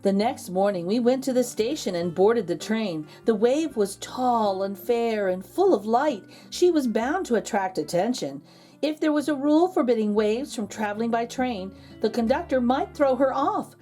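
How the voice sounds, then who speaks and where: A woman narrating a children's storybook aloud as a read-aloud host, expressive and drawing out key words. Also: a little high, moderate pace, faint music behind.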